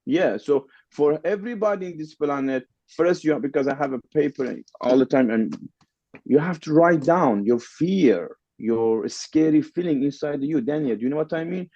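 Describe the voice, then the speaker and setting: A man's voice: a little high, normal speed, warm tone, no room sound, highs cut off. Persian-accented man telling his story. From Middle East. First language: Farsi. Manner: non-native English, repeats phrases for emphasis. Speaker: podcast guest. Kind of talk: casual interview storytelling.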